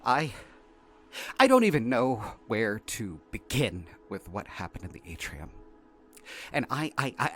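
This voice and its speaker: A man playing a shaken, exasperated researcher, haltingly dictating an incident report in an audio drama. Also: mid-range voice. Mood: flustered, incredulous. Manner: halting, flustered, repeating words. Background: faint music.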